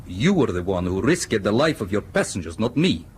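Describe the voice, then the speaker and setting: An actor in a radio drama playing a suspicious foreign ship's captain and scientist who speaks in a measured, probing, ironic way. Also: male voice, fairly low pitch, very animated, a little mellow, a little hiss or hum. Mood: cautious, accusing.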